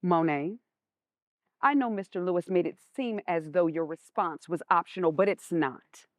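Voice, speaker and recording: A woman's voice: fairly low pitch, normal speed, dry recording, clean studio sound, lightly acted. A woman playing a stern, proud mother in a stage play, with a commanding and pointed way of talking. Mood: stern, controlled. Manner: commanding, pointed, formally clipped.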